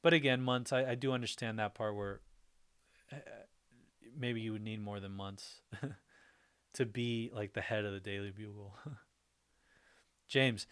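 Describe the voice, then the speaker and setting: A man's voice: fairly low pitch, steady tone, a little slow, pauses often, a bit bright, clean tone. A man hosting a casual livestream, reading viewer comments aloud, thinking them over and laughing often between remarks. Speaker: livestream host. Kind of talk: casual livestream reaction chat.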